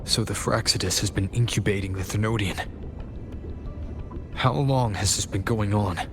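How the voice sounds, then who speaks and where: Fairly low male voice, suspicious young warrior played by an actor, stern probing game dialogue, music behind. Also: normal speed, close to an everyday voice. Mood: wary, questioning. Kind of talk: scripted video game voice acting.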